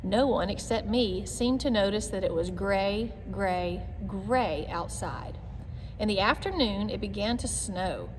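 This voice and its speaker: A woman reading a children's chapter book aloud in an expressive, clearly phrased narration style. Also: medium pitch, measured pace. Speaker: read-aloud reader. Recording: long echoey reverb, background noise.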